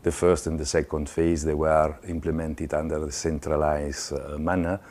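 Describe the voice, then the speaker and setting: Man with an extremely low, flat voice, measured and matter-of-fact explainer. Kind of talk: explanatory talk.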